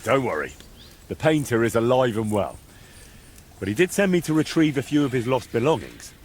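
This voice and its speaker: An actor playing a calm young man in a medieval game, questioning people in a measured, dryly mocking, insistent way. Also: medium pitch. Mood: dry, composed. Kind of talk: video game dialogue acting.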